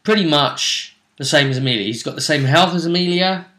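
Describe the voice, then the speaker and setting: A man's voice: a little high, brisk, bright, forceful tone, echoey room. A man hosting a tabletop role-play show, talking through events in casual recap commentary. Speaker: host of a tabletop role-play tournament vidcast.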